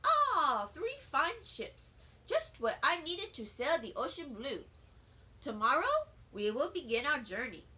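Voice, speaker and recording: A woman's voice: high voice, big pitch swings, slow pace, sharp tone, heavily acted. A woman doing a proud little boy's stage voice. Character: boastful young schoolboy playing Columbus.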